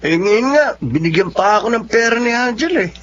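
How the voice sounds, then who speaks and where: A man's voice: sits high, lively intonation, a little fast, fairly bright tone. A Filipino man acting in a radio drama as a sly, manipulative younger lover who drinks and turns on false sweetness. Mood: smug. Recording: dull lo-fi audio with clear noise, hum.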